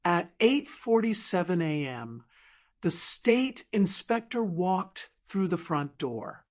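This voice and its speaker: A woman narrating an audiobook drama in a measured, emotive storytelling manner with deliberate pauses. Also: on the low side, big pitch swings.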